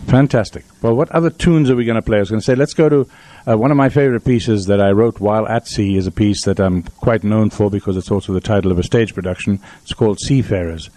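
Quick-talking, mellow male radio host. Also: lowish voice, no echo. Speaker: radio show host and musician. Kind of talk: casual studio chat. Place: small radio studio.

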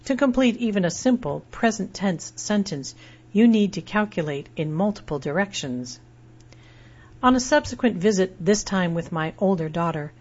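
A middle-aged woman reading a personal essay aloud in a measured, reflective way. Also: lowish voice, a little mellow, husky. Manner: measured and reflective, spells out words letter by letter. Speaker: essay narrator. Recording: dull lo-fi recording.

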